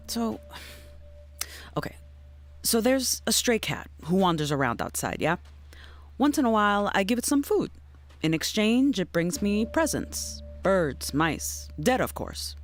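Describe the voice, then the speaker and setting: A woman's voice: medium pitch, very animated, a little slow, soft background music. A woman playing a laid-back, cynical drug dealer in an audio drama, speaking in slangy, blunt, teasing language. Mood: wry, philosophical. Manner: slangy, drawling, blunt, philosophizing. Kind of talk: naturalistic audio drama acting.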